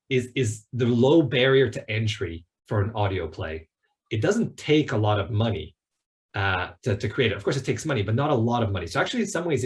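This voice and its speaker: A man answering thoughtfully in an online panel discussion, reflective and measured, qualifying his points. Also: fairly low pitch.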